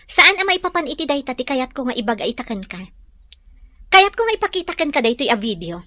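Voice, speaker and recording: A woman's voice: sits high, big pitch swings, a little fast, sharp tone, exaggerated voice. A woman acting a principled, firm young maiden reproving her suitor in a radio drama, with an Ilocano Filipino accent. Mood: serious.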